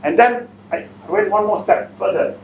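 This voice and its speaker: A man's voice: high-pitched, normal speed. Echoey Malaysian or Singaporean male seminar speaker. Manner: blunt, interactive, repeatedly challenges listeners with questions. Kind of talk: motivational seminar talk, quizzing the audience. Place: indoor seminar room. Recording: echoey room, distant mic, muffled, faint noise.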